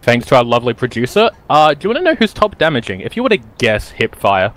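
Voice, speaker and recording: A man's voice: mid-range voice, wildly swooping pitch, quick pace, a bit bright. A man co-casting a live esports match in a chatty, upbeat way, laughing now and then between phrases. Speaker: esports caster. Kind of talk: live game commentary.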